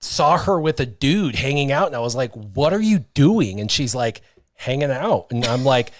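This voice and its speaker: Man, 30s, stream host, animated storyteller, studio stand mic, dry sound. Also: medium pitch, moderate pace, dry recording. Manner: animated storytelling, reads viewer comments. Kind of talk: casual livestream chat. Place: small studio with brick wall. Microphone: studio mic on stand, headphones.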